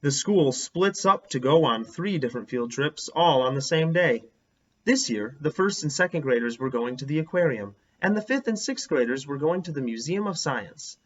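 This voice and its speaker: A man's voice: fairly high pitch, animated, normal speed, fairly bright tone. A man reading a children's story aloud as a teacher would, expressively, stressing and drawing out words. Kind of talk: animated children's read-aloud narration. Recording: dull, lo-fi sound.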